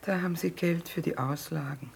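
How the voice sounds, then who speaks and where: A woman's voice: low-pitched, a little fast, gravelly, a little mellow, slight background noise. An actress with a Southern German or Austrian accent playing a weary, stoical war-hardened trader mother in a radio drama, with subdued, plain folk speech. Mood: weary resolve. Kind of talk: stage-style radio drama acting.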